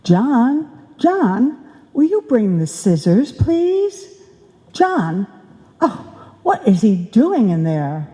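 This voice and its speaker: A woman's voice: on the high side, sing-song, drawn-out words, warm tone, slight background noise, exaggerated voice. Lady doing a nagging old-time radio wife, voice swoops all over, scolding, echoey hall. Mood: fretful. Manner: theatrical, scolding, exclaiming. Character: nagging, fussing wife in a bickering radio-comedy couple. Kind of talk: old-time radio comedy acting, performed live. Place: indoor stage before a live audience. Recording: live stage performance in reverberant hall.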